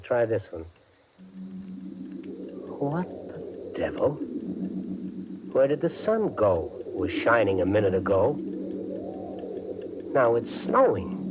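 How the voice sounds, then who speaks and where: An actor playing a desperate, excitable gambling everyman in a 1950s radio suspense drama, with intense, emphatic delivery. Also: lowish voice, slow pace, long pauses, noisy background. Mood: bewildered muttering. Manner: intense, muttering to himself, emphatic. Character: desperate gambling-addicted everyman bank worker, excitable and brooding. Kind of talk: 1950s radio suspense acting, first-person narration and dialogue. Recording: dull 1950s radio recording, loud music.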